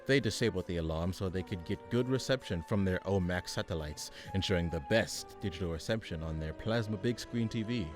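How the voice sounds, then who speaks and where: A man's voice: low voice, a little fast, few pauses, soft background music, lightly acted. A man playing a weary, pompous supervillain mastermind running a meeting in a comedic radio play, businesslike and dryly exasperated. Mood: pompous scheming.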